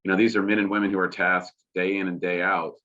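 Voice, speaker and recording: A man from Texas explains his company on a webinar, speaking in a very low, flat voice with a bright edge. He talks steadily, leaning on fillers. The sound is a dull, lo-fi video call feed. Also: quick pace. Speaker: oil company representative. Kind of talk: webinar presentation.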